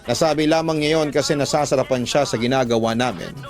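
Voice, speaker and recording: A man's voice: medium pitch, quick pace, faint music behind. A man with a Filipino accent reading a listener's love letter as a scripted first-person radio narration.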